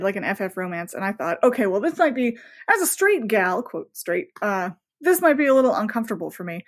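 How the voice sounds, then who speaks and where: Chatty, effusive woman being interviewed. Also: mid-range voice. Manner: informal and effusive, with emphatic asides. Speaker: podcast guest, romance novelist. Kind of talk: casual, chatty podcast interview.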